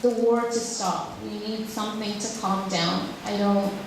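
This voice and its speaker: A woman's voice: mid-range voice, fairly even intonation, unhurried, a little hiss or hum. A woman from Ukraine, a drawing teacher answering audience questions at a public talk, speaking hesitantly with many fillers and restarts. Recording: echoey hall, speaker far from mic.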